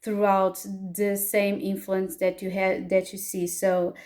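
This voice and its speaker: A woman's voice: medium pitch, completely flat delivery, slow, a little mellow. A woman from Portugal with a Portuguese accent, speaking English as a second language and hosting a casual pick-a-card tarot reading, hesitant with many fillers and restarts. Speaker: tarot reader and astrologer.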